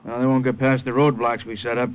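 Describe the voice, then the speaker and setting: A muffled vintage radio drama. A man acts a businesslike, gruff police lieutenant with a mid-pitched, resonant voice. His delivery is old-time radio police procedural. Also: flat delivery, quick pace, natural voice. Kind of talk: 1950s radio drama acting.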